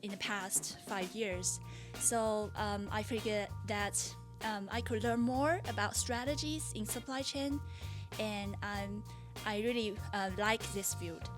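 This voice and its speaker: A woman, an MBA student, answering interview questions hesitantly with many pauses and fillers. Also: medium pitch, over music.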